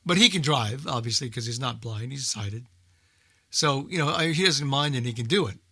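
Older American male for casual talk radio, emphatic and repetitive, forceful bright tone, close dry sound. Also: medium pitch, expressive, normal speed, dry recording. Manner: emphatic, repeats himself for emphasis. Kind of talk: casual group chat.

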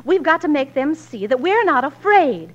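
A spirited, protective young wife of the frontier in a forties radio drama. Her voice is high and earnest, on a muffled old recording. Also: sing-song, normal speed. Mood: determined, persuasive. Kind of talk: 1940s radio drama acting.

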